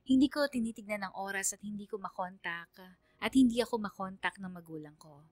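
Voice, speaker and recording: Woman as anxious young Filipino student narrator, medium pitch, confiding, earnest, radio drama, dry studio sound. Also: brisk, no room sound, natural voice. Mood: anxious, reflective.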